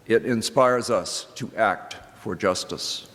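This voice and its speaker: An older man speaking as a minister in a reflective church address, with deliberate, halting phrasing and pauses for emphasis. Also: on the low side, fairly bright tone. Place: large indoor church sanctuary. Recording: reverberant church hall with background noise.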